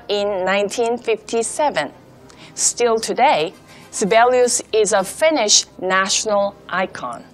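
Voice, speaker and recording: A young woman delivers a prepared lecture, reading from her notes at a measured, deliberate pace. Her voice sits a little high. Faint music plays softly under her words. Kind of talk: scripted lecture presentation. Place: studio with projected backdrop.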